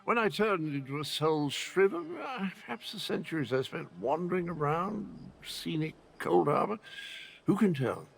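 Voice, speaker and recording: A man playing a chatty, eccentric undead game character with a creaky, husky voice, rambling theatrically with wry self-mockery. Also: on the high side, expressive, normal speed, a bit bright, heavily acted. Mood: wry, musing. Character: chatty eccentric undead former soul shriver, self-mocking. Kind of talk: video game character acting.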